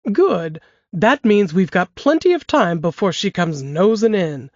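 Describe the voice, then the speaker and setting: A woman's voice: mid-range voice, big pitch swings, a little slow, darkish tone, no echo. A woman voicing an elderly woman in an assisted living home who coaxes her great-grandson into listening with a folksy, teasing manner. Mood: coaxing. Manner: folksy drawl, teasing and conspiratorial. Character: elderly woman storyteller, spry, sly and coaxing. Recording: dry, dull lo-fi podcast audio.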